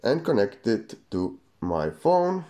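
A man's voice: lowish voice, sing-song, slow, warm tone. A man casually demonstrating and reviewing a product in simple, non-native English phrasing. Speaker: gadget reviewer.

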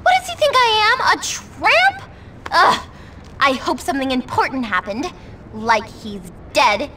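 Spoiled, indignant schoolgirl for a game, very animated, slightly off-mic. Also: high-pitched, unhurried, distant mic, a little hiss or hum, full character voice. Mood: dramatic outrage. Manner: haughty, theatrically indignant. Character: snooty, spoiled teenage rich schoolgirl, haughty and dramatic. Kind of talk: video game cutscene acting.

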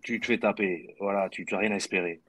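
A man's voice: fairly low pitch, fairly even intonation, quick pace, a bit bright, no room sound, rough recording. A middle-aged man from southwest France talking on a video call, hesitant, with many fillers and repeated words. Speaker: guest, former footballer. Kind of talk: casual video-call discussion. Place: room at home. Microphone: video call webcam.

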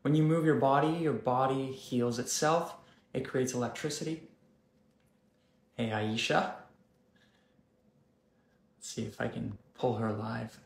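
Calm guy in his 30s coaching viewers live on his phone, slow and gentle, echoey room. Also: mid-range voice, gravelly. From South Africa, raised in Canada. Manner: calm, gently guiding, slow deliberate phrasing. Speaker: wellness host. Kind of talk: live-stream coaching chat. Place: room indoors.

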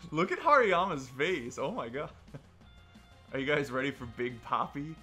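A man bantering casually with friends while playing a video game, excitable, teasing and often breaking into laughter. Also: fairly high pitch, measured pace. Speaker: online video game player. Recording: game music behind the voice. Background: video game battle music.

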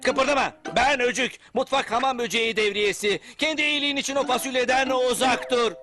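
A man voicing a bossy, scheming, excitable cartoon cockroach with a brash, self-important manner and a high cartoon voice. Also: a little fast. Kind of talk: animated cartoon dialogue. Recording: music behind the voice.

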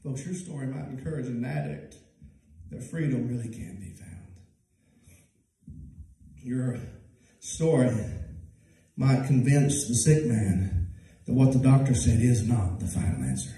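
A man in his thirties with a Southern US accent, preaching a sermon at a church pulpit. He swings from loud, high-pitched preaching to low, soft passages. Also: measured pace, long pauses. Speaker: pastor. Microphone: handheld mic, filmed from far off. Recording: echoey church from far off.

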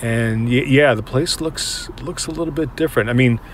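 An actor as an uneasy, reflective man in an audio drama, revisiting a place from his past. His mid-pitched voice rambles naturally, halting and correcting itself as he records his thoughts. Clear urban noise and traffic ambience sit behind him. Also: close to an everyday voice. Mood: wistful, puzzled. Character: uneasy, reflective man revisiting a creepy old apartment building. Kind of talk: naturalistic monologue, recording thoughts while on the move.

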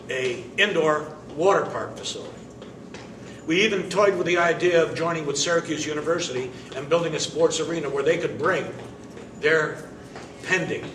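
Indignant older man at a press conference. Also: fairly high pitch. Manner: emphatic, indignant, deliberate with pauses. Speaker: managing member of the facility's owners. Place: indoor meeting room. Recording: roomy, distant, noisy camera audio.

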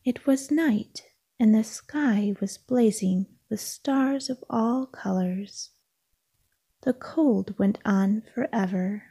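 Woman reading a bedtime story, real mellow and breathy, gentle and calming. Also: mid-range voice. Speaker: storybook read-aloud narrator.

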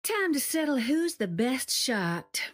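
Slow-talking gunslinger. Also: female voice, high voice, very animated, dry recording, studio quality, exaggerated voice. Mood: cocky. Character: confident, drawling female gunslinger.

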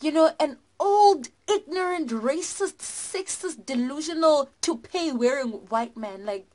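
Young south african lady doing chatty political commentary, kinda high voice, animated and emphatic. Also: measured pace. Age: young adult. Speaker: host of a political commentary show. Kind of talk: informal satirical political commentary to camera. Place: small indoor room, plain dark wall.

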